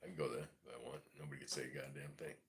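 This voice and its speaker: A man's voice: rumbling low, fairly even intonation, racing. A man in his forties with a Brooklyn New York accent, rambling casually and profanely on a livestream, full of "you know". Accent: New York City. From East New York, Brooklyn. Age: 40s. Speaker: livestream host. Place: home in Mississippi.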